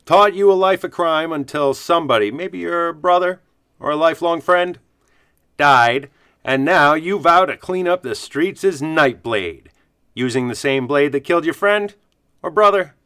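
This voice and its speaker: A man plays a gregarious bartender who has seen it all, for a comic radio play. The voice is forceful and resonant, medium in pitch, with a wry, fatherly manner. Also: clear, resonant tone, no echo, studio quality.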